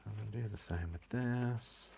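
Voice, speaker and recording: A man from Coventry with a Coventry English accent softened by years in Yorkshire, chatting and teasing himself as he demonstrates crafts live. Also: fairly low pitch, measured pace, gravelly, background noise. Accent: Coventry English, worn by years in Yorkshire. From England. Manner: chatty and self-mocking, talks to himself and the viewers. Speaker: crafting livestreamer.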